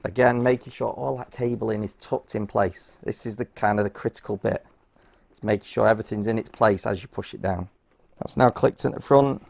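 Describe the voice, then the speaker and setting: A man's voice: fairly low pitch, fairly even intonation, a little fast, gravelly, darkish tone. A man talking through a hands-on task step by step in an informal, practical, instructional way. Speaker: presenter of a how-to product guide. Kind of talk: hands-on step-by-step tutorial narration.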